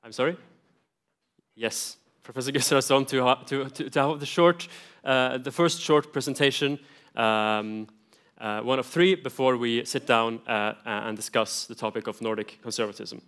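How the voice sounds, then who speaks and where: A man introducing speakers as a conference moderator, in a hesitant, halting way. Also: mid-range voice, a bit bright. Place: conference hall stage.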